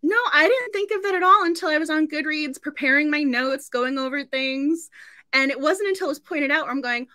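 A woman's voice: high-pitched, brisk, sharp tone, no echo. A woman in her thirties hosting a casual book club livestream in a gushing, giggly way. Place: indoor room at home.

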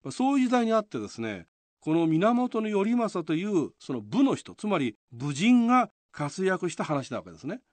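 A man's voice: high-pitched, sing-song, moderate pace, a little mellow, dry recording. A man presenting and explaining a tale in polite radio commentary style.

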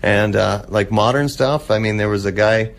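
Halting, low-voiced man being interviewed. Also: vocal fry. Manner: halting, rambling. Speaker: radio show guest, old-time radio enthusiast. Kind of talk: casual interview chat.

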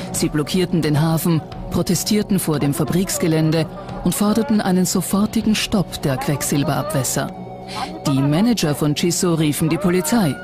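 A documentary narrator reading a scripted text in a factual documentary style. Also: medium pitch. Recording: music bed under narration. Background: background music.

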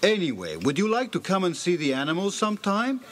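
A man's voice: high-pitched, wildly swooping pitch, a little fast. An actor playing a friendly, easygoing male circus animal keeper in an audio drama. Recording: old cassette audiobook recording.

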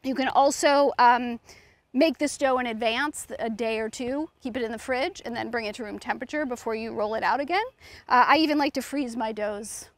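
A woman's voice: fairly high pitch, a little fast, dry recording. A middle-aged woman giving an informal cooking demonstration, instructing as she works. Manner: informal, instructive, talking while working. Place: outdoors on a lawn beside a food truck.

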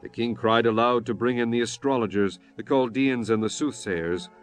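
A man narrating a dramatized scripture reading in a solemn, measured manner. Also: medium pitch, steady tone, faint music behind.

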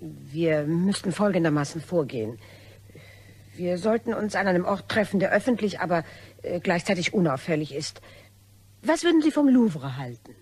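A woman playing a polite, desperate blackmailer in a German crime radio play, coy and hesitant, with a slightly husky voice. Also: on the low side, lively intonation, normal speed, darkish tone. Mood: apologetic, nervous. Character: polite, desperate female blackmailer, probing and apologetic.

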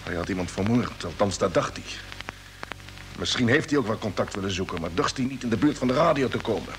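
A man's voice: lowish voice, animated, fairly quick, lightly acted. Guy doing troubled space commander in old dutch radio play, insistent, voice swings up and down, noisy old tape. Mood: grave. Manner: urgent, emphatic, pressing questions.

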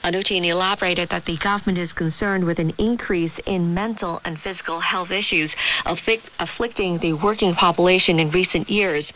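A woman's voice: on the low side, steady tone, fairly quick, a bit bright, a little hiss or hum, low-quality recording. A woman presenting scripted radio news over a shortwave broadcast.

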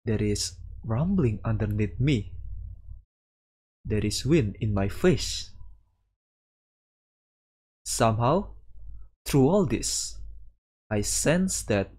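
A man reading a visual novel aloud as a streamer, with casual commentary and halting delivery. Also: on the low side, lively intonation, a little mellow, husky. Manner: halting delivery, sprinkling in Japanese words. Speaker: VTuber streamer.

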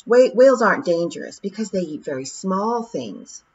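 A woman's voice: medium pitch, big pitch swings, moderate pace, darkish tone, voice pushed up, lightly acted. A woman voicing a determined, clever young girl, firm and insistent. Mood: earnest. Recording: dull lo-fi audiobook recording.

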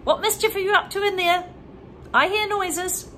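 A woman voicing a bossy old grandmother in a children's storybook reading, high and shrill. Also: normal speed, sharp tone, a little hiss or hum. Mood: suspicious. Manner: shrill, demanding.

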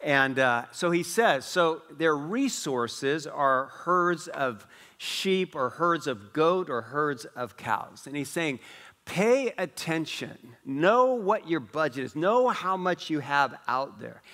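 A middle-aged man who grew up in West Africa, preaching a sermon as pastor in a large church auditorium, animated and gesturing broadly. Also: a little high, slow pace. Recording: long reverb of a large hall.

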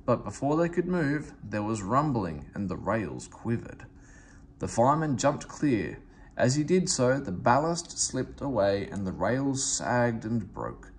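Animated man reading a children's story. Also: medium pitch, a little slow, a little hiss or hum. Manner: animated, theatrical with character voices. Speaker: storybook reader. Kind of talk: expressive children's story read-aloud.

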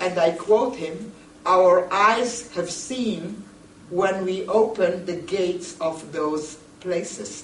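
A woman lecturing to a group in a deliberate, emphatic way, putting questions straight to her listeners. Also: mid-range voice. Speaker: lecturer, museum educator. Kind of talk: public lecture to a seated group. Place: indoor meeting room. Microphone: camera a few metres away. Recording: roomy, distant camera microphone with noise.

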